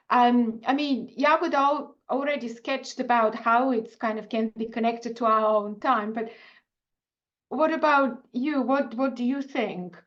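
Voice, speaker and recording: A woman's voice: fairly high pitch, lo-fi sound. A woman moderating a round-table webinar, who puts questions to the panel in a curious, hedging manner over a video call. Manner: inquisitive, hedging with fillers.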